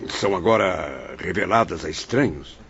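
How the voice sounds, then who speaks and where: A man's voice: deep voice, expressive, normal speed, gravelly, a bit bright, highs cut off, slight background noise, full character voice. A man playing an inquisitive, courteous spirit apprentice in an audio drama, asking formal questions. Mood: curious, concerned.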